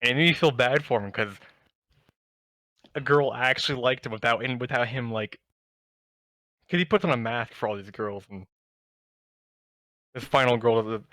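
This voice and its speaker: A man chats as a podcast co-host in a close, dry recording. His medium-pitched voice rambles through stories with plenty of enthusiasm, in loose everyday phrasing. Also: moderate pace, long pauses, fairly bright tone, no echo. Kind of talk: casual chat about anime.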